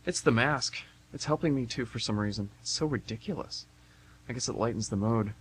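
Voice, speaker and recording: A man's voice: mid-range voice, a little fast, slightly rough, natural voice. A man playing a nervous, kindly, awkward young man on a date in an amateur radio play, hesitant and earnest. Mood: nervous, apologetic. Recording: hissy, humming amateur radio broadcast.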